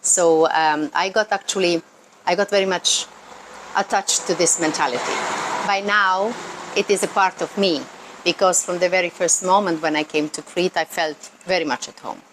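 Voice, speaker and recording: Lower-voiced Croatian woman over background noise. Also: steady tone, normal speed. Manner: earnest, emphatic, repeats words for stress. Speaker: local tourism worker. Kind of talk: unscripted explanatory talk to camera. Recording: noticeable ambient background noise.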